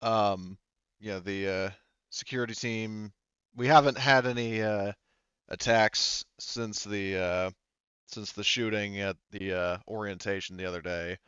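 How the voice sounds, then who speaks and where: A man plays a welcoming, practical sheriff in a tabletop roleplaying game. He talks in a halting, informal, matter-of-fact way, in a lowish, clear voice with a very dry sound. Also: steady tone, a bit bright, dry recording, highs cut off. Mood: matter-of-fact, briefing. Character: welcoming, practical male sheriff briefing a new recruit.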